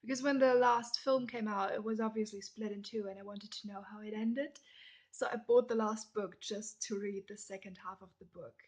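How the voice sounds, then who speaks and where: A young woman from Germany with a German accent, chatting casually through a list of questions and often dropping into soft whispered asides. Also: medium pitch, fairly even intonation, normal speed. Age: young adult. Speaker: vlogger. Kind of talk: casual question-and-answer tag video.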